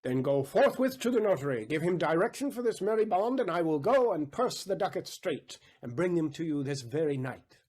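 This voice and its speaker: An actor playing an aggrieved, wily moneylender in a Shakespearean audio drama, deliberate, rhetorical and sardonic in manner. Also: male voice, on the high side, big pitch swings, darkish tone. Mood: brisk, scheming.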